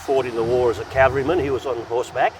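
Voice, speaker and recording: An older man from Austria tells a family story in quick, stumbling bursts, doubling back on his words. He sits well back from the microphone, with faint traffic noise behind him. His pitch sits in an ordinary middle range. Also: steady tone. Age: 70s. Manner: rambling, repeats words in bursts. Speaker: interviewee. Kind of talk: casual storytelling. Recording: distant mic with faint vehicle noise.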